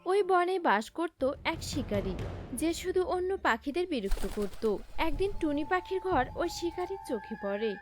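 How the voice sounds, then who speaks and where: A woman's voice: sits high, sing-song, moderate pace. A woman narrating a scripted cartoon story, with music playing behind her.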